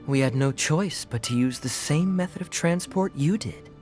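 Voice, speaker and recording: A man's voice: a little high, moderate pace, darkish tone, lightly acted. A man voicing a suave, sly young knight captain in game cutscenes, with a wry, teasing way of talking. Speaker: game character. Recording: game audio with faint music.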